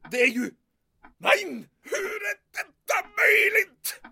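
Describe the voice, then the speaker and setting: A shrill, panicky major. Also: male voice, sing-song, creaky, exaggerated voice. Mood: secretive, tense. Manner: melodramatic, sprinkles in German exclamations. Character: panicky, theatrical middle-aged German army major. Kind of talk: audio drama monologue acting.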